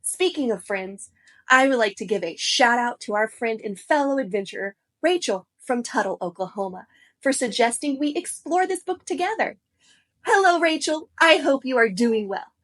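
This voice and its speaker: A woman hosting a children's read-aloud show, speaking to kids in an expressive, instructive, scripted way. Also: a little high, normal speed.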